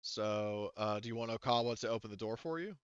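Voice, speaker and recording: A man's voice: fairly low pitch, brisk, smooth, no room sound, highs cut off. A man casually improvising narration as game master of a tabletop game, rambling and joking as he goes.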